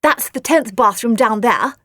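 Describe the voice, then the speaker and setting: A woman's voice: fairly high pitch, expressive, measured pace, a bit bright, no room sound, clean studio sound. A woman voicing a bored young male monster with pincers in a children's storybook, terse, indifferent and a little boastful. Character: bored, unflappable monster boys with pincers, two brothers.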